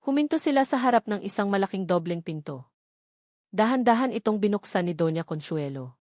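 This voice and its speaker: A woman narrating a scripted romance story in a measured, melodramatic way. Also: mid-range voice, animated, a little mellow, dry recording, clean studio sound.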